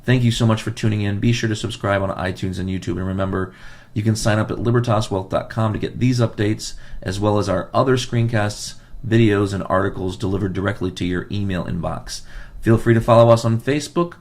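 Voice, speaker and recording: A man's voice: lowish voice, fairly even intonation, a little fast, darkish tone. A man hosting a financial advice podcast, losing his voice, who talks earnestly and repeats key points for emphasis. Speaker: financial advisor and podcast host.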